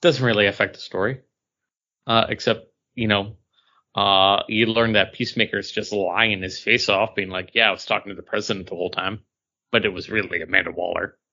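Bright-voiced man, dull lo-fi recording. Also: lowish voice, steady tone, fairly quick. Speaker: comics podcast co-host. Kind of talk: casual chat reviewing comics. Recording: dull lo-fi sound.

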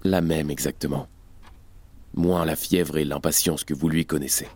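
Man acting a very deep, somber revolutionary. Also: fairly even intonation, moderate pace, a little mellow. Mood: grave, sorrowful. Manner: terse, grave. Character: somber, embittered revolutionary man recounting an execution. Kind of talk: audio drama acting in French. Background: music.

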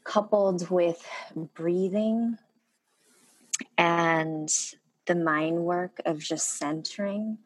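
Slow, soft-spoken woman guest. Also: on the low side, fairly even intonation, rough recording. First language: Korean. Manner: soft-spoken, hesitant with many pauses and fillers. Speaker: guest, skincare maker. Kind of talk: reflective interview talk.

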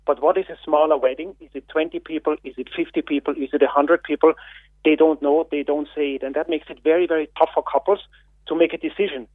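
A man with an Austrian accent speaks on a radio phone-in as a guest. He talks haltingly, with many fillers and repeated words, in a slightly high voice. The sound is dry and tinny, like a phone line. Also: fairly even intonation, no echo. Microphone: telephone.

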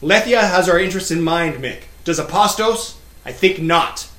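A man's voice: high voice, normal speed, pushed and bright, pitched above the performer's own voice. A man voicing a smug, scheming male villain who mocks and menaces in a theatrical sneer. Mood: angry.

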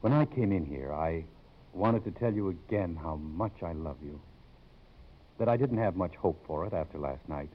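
A man playing an earnest, gentle middle-aged professor in love, speaking sincerely and measuredly in a 1950s radio drama. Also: low-pitched, animated, moderate pace. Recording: muffled old 1950s radio broadcast.

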